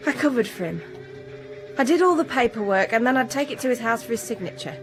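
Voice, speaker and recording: Radio drama: actress playing defensive female academic, high voice, pleading edge, faint music behind. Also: animated, fast, natural voice. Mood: defensive, confessing. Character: defensive, indignant young woman academic under police suspicion. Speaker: actor.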